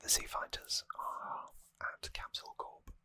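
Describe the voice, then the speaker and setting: An ASMR host whispering an informal story recap in a soft, breathy, unvoiced voice, close to the microphone. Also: unhurried, no room sound. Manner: soft-spoken, informal, explanatory. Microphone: close microphone, dry.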